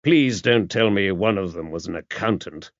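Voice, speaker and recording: A man voicing a mild, courteous priest in an audiobook, measured and wry. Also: low voice, no room sound, close to an everyday voice. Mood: wry, probing. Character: mild, courteous male priest, a former spy, wryly inquisitive. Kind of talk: audiobook character dialogue. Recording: dull, muffled lo-fi audio.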